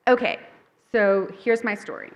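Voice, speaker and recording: A woman's voice: mid-range voice, a little slow. A woman who is a picture book author gives a conversational talk to a live audience, upbeat and chatty, with many fillers and self-corrections; her first language is Thai.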